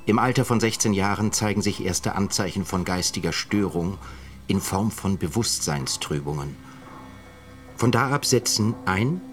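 An actor playing a grave, erudite chronicler of a 1920s murder case, giving measured literary narration in a radio drama. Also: male voice, low voice.

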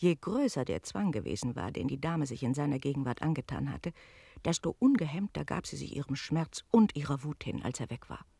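An actress playing a worldly, teasing innkeeper's wife who tells stories expressively and confidingly in a German radio play. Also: female voice, sits low, big pitch swings, fairly quick, vocal fry, dark tone, husky, dry recording, studio quality, heavily acted. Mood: confiding, narrating.

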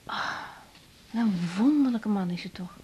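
A woman's voice: mid-range voice, expressive, moderate pace, warm tone, slightly rough. An actress playing an earnest young woman doctor who stammers and hesitates, in a 1980s radio drama. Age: young adult.

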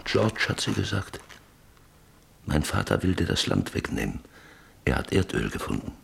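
An actor playing a troubled farmer father in a German radio drama, recalling the past in halting, repetitive phrases. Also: deep voice, sing-song, vocal fry, slightly rough, heavily acted. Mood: haunted, reproachful. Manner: halting, repetitive, brooding delivery. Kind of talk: German radio play acting, reflective monologue.

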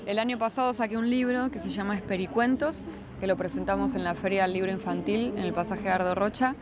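A woman's voice: mid-range voice, fast talker. A woman with a Rioplatense Argentine accent chatting informally and giving frank opinions as an interview guest. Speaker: interviewed guest, children's science entertainer and writer. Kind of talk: casual chat, answering interview questions. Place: busy brewery bar hosting an event. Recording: crowded venue with urban background noise. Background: crowd and street noise.

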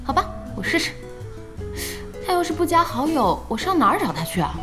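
Young gamer boss lady, audio drama, high playful expressive voice, easily flustered, music behind. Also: a little fast. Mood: curious, hesitant. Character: spirited young female team boss, curious and easily flustered. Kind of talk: Chinese audio drama acting.

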